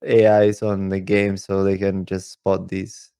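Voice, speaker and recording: Male podcast co-host, low creaky voice, dry sound, casual halting delivery. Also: monotone, dark tone, no room sound. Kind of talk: casual chat.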